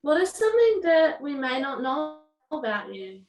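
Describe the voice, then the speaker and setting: A young female student podcast host reads her questions politely and carefully. Her voice is high, and the school room around her rings with echo. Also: very animated, moderate pace, recorded from a distance. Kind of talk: scripted interview questions. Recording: echoey room.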